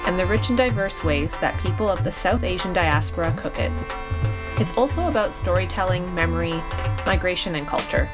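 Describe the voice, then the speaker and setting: A woman's voice: lowish voice, fairly quick. Woman radio host over prominent music. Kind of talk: scripted radio narration. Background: acoustic guitar and tabla music.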